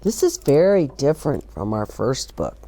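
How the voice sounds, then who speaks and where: A woman's voice: rumbling low, wildly swooping pitch, normal speed, mellow. An older woman hosting a story time, chatting expressively about picture books and putting questions directly to young listeners. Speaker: host of a children's story time. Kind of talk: read-aloud and chatty book talk for children.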